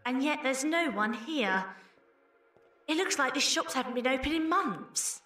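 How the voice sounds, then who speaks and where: A woman's voice: sits high, expressive, unhurried, pushed and bright, exaggerated voice. A woman playing a spirited, teasing young time-travel companion in an amateur audio drama, sarcastic and exasperated. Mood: uneasy.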